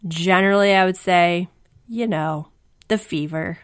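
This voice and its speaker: A woman co-hosting a casual podcast, chatty and quick to laugh, her voice just back after losing it to the flu. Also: on the low side, clear, resonant tone. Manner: chatty, laughs often, self-deprecating. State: recovering from flu, voice recently lost. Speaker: podcast co-host. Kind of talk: casual chat.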